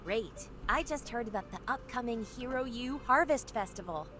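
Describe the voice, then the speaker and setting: A woman's voice: a little high, lively intonation, normal speed, background noise, lightly acted. An actress voicing a cheerful young woman adventurer in a game, talking in a friendly, chatty way. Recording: game audio with faint music.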